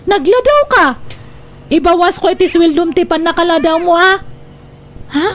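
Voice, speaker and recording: A woman's voice: very high voice, expressive, normal speed, a bit bright. A woman playing a bossy, demanding employer in a radio drama, nagging with an Ilocano accent. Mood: nagging, scolding. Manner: commanding, nagging. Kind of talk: Filipino radio drama acting.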